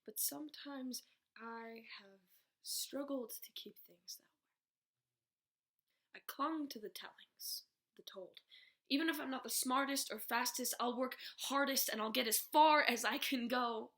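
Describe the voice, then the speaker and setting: Young woman, slam poet, bright tense tone, creaky edges, vulnerable and emotional, rhythmic delivery. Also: sits high, unhurried. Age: young adult. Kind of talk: slam poetry recitation.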